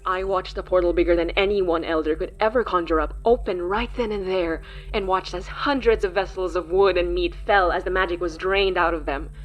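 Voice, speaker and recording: A woman's voice: lowish voice, steady tone, brisk, hardly any pauses, muffled recording, lightly acted. An actress playing a solemn supernatural elder, a woman recounting an old war in a dramatic monologue. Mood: solemn, regretful.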